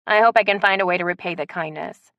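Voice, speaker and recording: A woman voicing a polite, soft-spoken young woman from the city in an audiobook. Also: on the low side, fast talker, no room sound, dull audio, close to an everyday voice.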